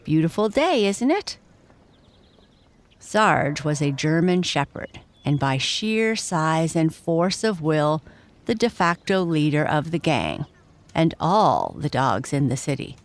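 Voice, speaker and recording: A woman's voice: sits low, sing-song, takes it slowly. A woman reading a scripted bedtime story for children as its narrator.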